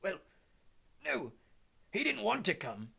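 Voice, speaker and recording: Male narrator voicing a hulking half-giant gamekeeper: gravelly, husky, stammering, rustic and soft-hearted. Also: high voice, wildly swooping pitch, slow, pitched above the performer's own voice, exaggerated voice. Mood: urgent.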